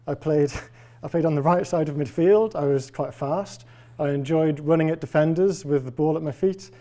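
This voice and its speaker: A man's voice: a little high, moderate pace, dark tone. A man in his forties from Wales with a faint Welsh accent, giving a public lecture as a professor in a wry, self-deprecating, conversational way. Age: 40s. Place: lecture hall with a live audience.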